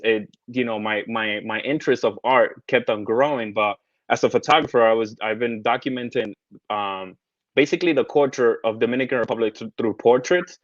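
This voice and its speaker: A man in his thirties speaks as an interview guest at a middle pitch, often stopping to restart his words and filling gaps with ums. The recording is dry and dull, like a video call. He talks in a loose, casual way. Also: dry recording. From United States, raised partly in the Caribbean. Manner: hesitant, many restarts and fillers. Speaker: guest, tarot artist.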